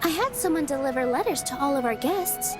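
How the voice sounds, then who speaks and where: A woman's voice: sits high, lively intonation, fairly quick. A woman playing a cheerful, modest young dancer in a video game, speaking sweetly, earnestly and politely. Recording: loud game music behind. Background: game music.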